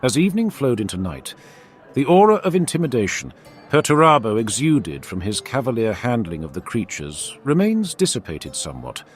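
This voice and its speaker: A man's voice: medium pitch, huge pitch swings, normal speed, faint music behind. A man reading a scripted fantasy story in a formal, theatrical storyteller's manner. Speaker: narrator. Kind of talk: scripted dramatic fantasy audiobook narration.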